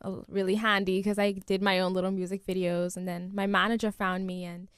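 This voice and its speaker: A woman's voice: medium pitch, flat delivery, a little fast, clean tone, no echo. A fifteen-year-old girl from the Bahamas, chatting as a guest in a radio studio interview in a bubbly, giggly way. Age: teenager, 15. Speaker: guest singer. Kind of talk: casual interview.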